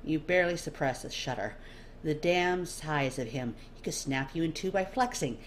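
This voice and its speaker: A woman's voice: low-pitched, expressive, moderate pace. A woman narrating a scripted second-person story expressively as an amateur audiobook reader. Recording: faint background noise.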